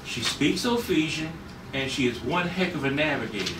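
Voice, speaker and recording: A man's voice: a little high, animated, normal speed, lightly acted. A man playing an easygoing, teasing rocket crewman in a read-through of a retro space adventure script. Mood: persuasive, friendly. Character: easygoing, teasing male rocket crewman defending a young woman. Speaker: actor at a script table read. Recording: echoey room, distant mic, noisy.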